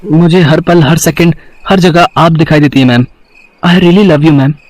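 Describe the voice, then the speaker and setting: A man's voice: on the high side, steady tone, measured pace, a little mellow, no room sound, close to an everyday voice, poor audio. A man acting a lovestruck young male student in a dramatized story, earnest, hesitant and pleading.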